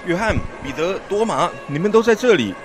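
A man's voice: sits high, expressive, brisk, soft background music. An actor playing an earnest, friendly village man and disciple in a radio Bible drama, speaking in a hearty, theatrical way. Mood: earnest, excited.

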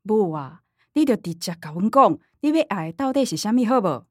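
A woman's voice: mid-range voice, very animated, moderate pace, darkish tone, slightly rough, no room sound, studio quality. A woman playing a concerned middle-aged daughter and mother with a Taiwanese accent in a radio drama. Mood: hurt, pleading.

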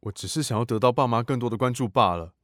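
A man's voice: mid-range voice, fast, fairly bright tone, lightly acted. Man playing a troubled, confessional young son. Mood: defensive, remorseful. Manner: introspective, earnest. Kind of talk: radio drama monologue. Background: music.

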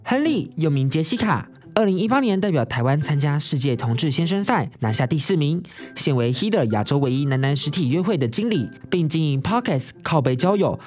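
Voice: mid-range voice, a little mellow. A podcast host with a Taiwanese Mandarin accent who banters in a campy, playful, teasing way while interviewing.

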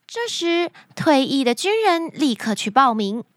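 A woman hosting a children's story show, speaking to young listeners in an animated, expressive storytelling manner. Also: high-pitched, a little slow, dry recording, clean studio sound. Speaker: children's story podcast host.

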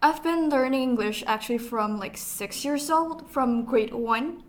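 Young woman from Indonesia, student co-host, fairly high voice, relaxed and loose delivery. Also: measured pace. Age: young adult. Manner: relaxed, uses lots of "like". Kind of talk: casual podcast chat.

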